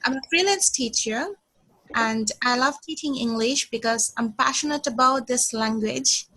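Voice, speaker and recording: A woman in her twenties from Bangladesh, teaching an English lesson slowly and deliberately, often repeating herself and saying "okay". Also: a little high, pushed and bright, low-quality recording. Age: 20s.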